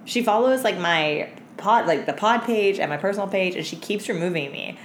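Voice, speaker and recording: A woman's voice: medium pitch, normal speed. A woman co-hosting a podcast, chatting crudely and comically with plenty of slang, swearing and giggles. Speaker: podcast co-host. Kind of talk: unfiltered comic chat and anecdotes. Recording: roomy echo with background noise.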